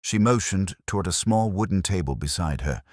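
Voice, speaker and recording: Very deep-voiced male story narrator. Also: expressive, normal speed, darkish tone, dry recording, clean studio sound. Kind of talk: scripted dramatic detective narration.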